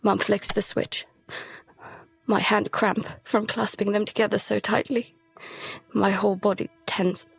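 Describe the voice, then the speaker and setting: A woman playing a frightened, guilt-ridden daughter in an audio drama, narrating and speaking in an anxious, halting, hushed way. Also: medium pitch, flat delivery, creaky, slightly rough. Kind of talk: audio drama, first-person present-tense narration and dialogue. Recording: music behind the voice.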